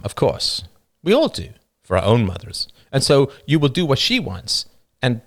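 A man voicing an earnest adult male counselor who reasons in quick, urgent bursts. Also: medium pitch, a bit bright, voice pushed up.

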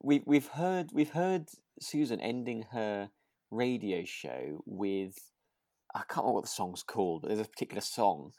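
A man's voice: lowish voice, expressive, moderate pace, warm tone, dry recording, studio quality. A British man co-hosting a podcast, chatting casually about a radio drama in a chatty, giggly way. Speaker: podcast co-host.

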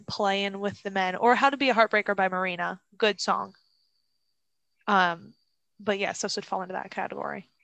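A young woman co-hosts a chatty podcast, giggling and teasing as she drifts into tangents. Her voice is smooth and clear. The sound is close and dry, with no room in it. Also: mid-range voice, fairly bright tone, no echo. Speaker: podcast co-host. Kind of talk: casual chat.

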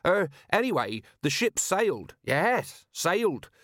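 A male reader plays a blustering merchant captain in a comic fantasy audiobook. The voice sits high, sounding shifty and self-important. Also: sing-song, unhurried, no room sound, clean studio sound, heavily acted. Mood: evasive. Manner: loud, indignant, prone to stammering when challenged.

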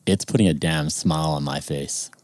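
A man's voice: rumbling low, moderate pace, no room sound, studio quality. A man co-hosting a podcast, chatting in a laid-back way and laughing easily. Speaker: podcast co-host. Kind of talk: casual chat.

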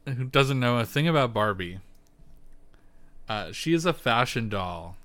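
A man co-hosting a casual home podcast, animated and swearing often. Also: mid-range voice, unhurried. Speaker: podcast co-host. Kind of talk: casual chat.

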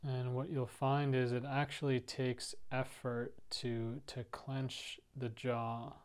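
A man leading a guided meditation, speaking gently and softly in unhurried, spaced-out phrases. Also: medium pitch, flat delivery, clear, resonant tone. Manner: soft, gentle, unhurried instructing with pauses. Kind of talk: guided body-scan meditation.

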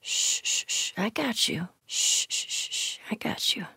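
A woman's voice: medium pitch, animated, a little slow, creaky, sharp tone, husky, no echo, whispered, exaggerated voice. Game role: young American female survivor, sardonic, blunt, foul-mouthed, naturalistic delivery, dry studio sound. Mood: hushed, soothing. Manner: blunt, sweary. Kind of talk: naturalistic video game acting.

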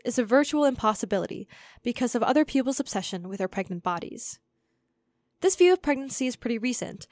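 A woman's voice: fairly high pitch, no echo. A young woman reading a scripted essay aloud as narration for a student audio zine. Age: young adult.

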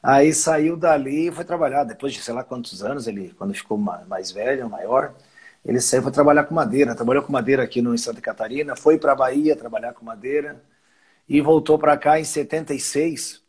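Man, 50s, husky, relaxed rambling interview guest. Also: mid-range voice, a little fast. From southern Brazil. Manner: rambling, restarts phrases, chuckles often. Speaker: winery owner, guest. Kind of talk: casual conversational interview.

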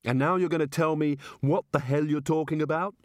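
A man's voice: a little high, fairly even intonation, fairly quick, no echo, studio quality, voice pushed up, natural voice. A man voicing a baffled, exasperated fantasy adventurer in an audiobook, questioning everything incredulously. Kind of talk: audiobook character voice.

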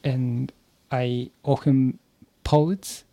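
A man with a Japanese accent, a native Japanese speaker, giving careful, halting interview answers in English as a podcast guest and learner. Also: mid-range voice, flat delivery, mellow, dry recording. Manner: hesitant, searching for words, halting phrases.

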